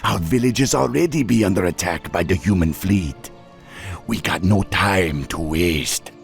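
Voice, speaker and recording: Troll chieftain, low creaky voice, Jamaican-style Caribbean accent, proud, easygoing, fantasy game. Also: male voice, a little slow, faint music behind. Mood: grave, urgent. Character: wily male troll tribe leader, easygoing and proud. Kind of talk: fantasy video game voice acting.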